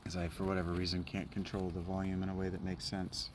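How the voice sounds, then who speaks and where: A man's voice: sits low, monotone, quick pace, background noise. Goofy rambling gamer man. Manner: rambling, goofy, hums and sings nonsense. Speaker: let's play streamer. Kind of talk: casual gameplay commentary.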